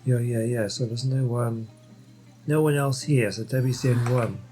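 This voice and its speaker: A woman's voice: very deep voice, fairly even intonation, normal speed, dark tone, soft background music. A woman giving casual game commentary, thinking aloud in a hesitant, conversational way. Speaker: gamer playing and commenting.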